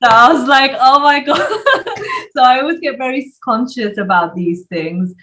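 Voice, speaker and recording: A young British woman in her twenties talks through her thoughts in a relaxed interview, full of ums and soft laughs. She sits a little back from the mic, so her voice sounds slightly distant. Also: high-pitched, moderate pace. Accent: British English. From United Kingdom. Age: 20s. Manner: chatty, hesitant with many fillers, laughs often. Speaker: guest. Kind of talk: casual interview chat.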